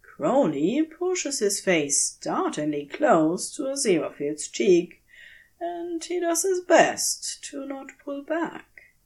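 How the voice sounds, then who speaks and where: A woman reading a story aloud as a scripted fiction narration, with measured, expressive storytelling. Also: high-pitched. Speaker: podfic narrator.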